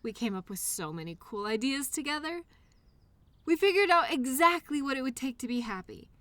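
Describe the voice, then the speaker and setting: Woman as a bright, high-voiced childlike imaginary friend. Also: expressive, dry recording. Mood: gentle, questioning. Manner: earnest, childlike wonder, hesitant pauses. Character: childlike imaginary friend, eager, wistful, innocent. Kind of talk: intimate scripted audio roleplay monologue addressed to listener.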